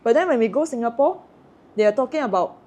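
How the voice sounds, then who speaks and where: A woman's voice: a little high, animated, quick pace, a little mellow. A woman from Malaysia with a Malaysian English accent, chatting casually and giving strong, indignant opinions. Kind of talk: casual chat.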